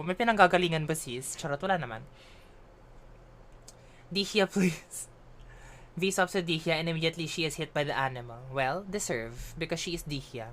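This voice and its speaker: A woman's voice: low voice, pushed and bright, a little hiss or hum. A woman with a Filipino accent chatting and ranting casually while livestreaming a game, mixing English and Tagalog. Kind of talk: casual gaming stream chat.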